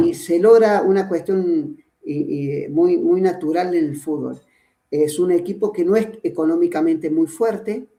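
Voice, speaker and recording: Guest coach, Argentine (Córdoba) accent, middle-aged man, pitched a bit high, mellow; thinks aloud with lots of "eh"; roomy, echoey recording. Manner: explanatory, thinks aloud with many fillers and restarts. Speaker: guest, football coach and trainer. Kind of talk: podcast interview conversation. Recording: roomy echo.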